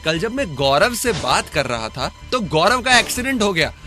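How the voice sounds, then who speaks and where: A wisecracking young male detective in a radio thriller. His voice is high and sharp, full of teasing, rustic drawling banter. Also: sing-song, normal speed, faint music behind, full character voice. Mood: mocking, probing. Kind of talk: Hindi radio crime drama acting.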